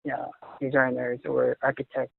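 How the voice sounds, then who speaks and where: A young Korean man speaking English with a Korean accent as an interviewee, halting and searching for words, often restarting. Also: mid-range voice, completely flat delivery, creaky, dry recording. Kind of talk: casual conversation in English. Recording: tinny phone-like audio.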